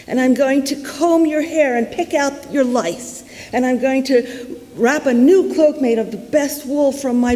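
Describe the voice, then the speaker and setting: A woman's voice: sits high, dark tone, natural voice. A woman storyteller voicing a devout shepherd man who gushes with childlike love as he cries out to God. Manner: gushing, childlike devotion. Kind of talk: acted quote in live storytelling. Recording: reverberant hall.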